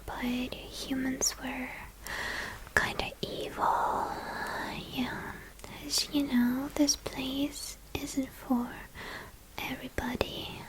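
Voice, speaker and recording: A bashful young vampire classmate acted by a woman murmuring slowly and haltingly in your ear in an ASMR role play at a monster school. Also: high voice, low-quality recording. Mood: curious, confiding, then confiding, mysterious.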